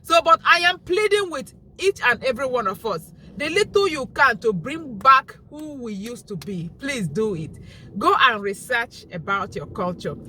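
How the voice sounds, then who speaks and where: A woman's voice: a little high, sing-song, moderate pace, bright, forceful tone, a little hiss or hum, rough recording. A young woman with a Nigerian Igbo accent talking straight to camera in an earnest, pleading vlog monologue. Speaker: vlogger.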